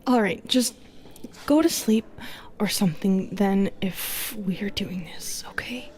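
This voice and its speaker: Slow, breathy, brooding Medusa played by a woman. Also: mid-range voice, a little mellow, lightly acted. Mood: wounded, lonely. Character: bitter, seductive, lonely female Medusa who turns people to stone. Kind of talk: intimate audio roleplay acting.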